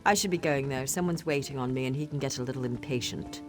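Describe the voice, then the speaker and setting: A woman's voice: rumbling low, fast talker, soft background music. An actress playing a polite, flustered young female scholar in a video game. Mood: puzzled, slightly hurt. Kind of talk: video game character acting.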